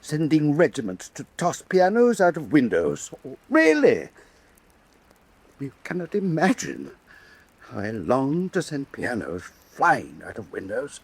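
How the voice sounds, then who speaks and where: An actor playing a fretful, self-pitying, vain ruler in a radio drama, with pompous, theatrical speechifying and a husky voice. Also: male voice, mid-range voice, huge pitch swings, unhurried, heavily acted. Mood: aggrieved, self-pitying.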